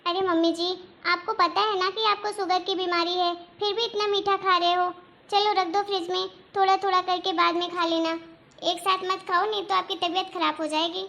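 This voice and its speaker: Frustrated daughter-in-law voiced by a woman, arguing at length, very high pitch. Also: flat delivery, normal speed, gravelly, sharp tone, full character voice. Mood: scolding. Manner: exasperated, arguing, explaining at length. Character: exasperated young daughter-in-law, put-upon.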